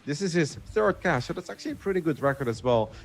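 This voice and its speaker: A man hosting a poker broadcast, briskly introducing players and handing off to his co-host. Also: fairly high pitch, animated.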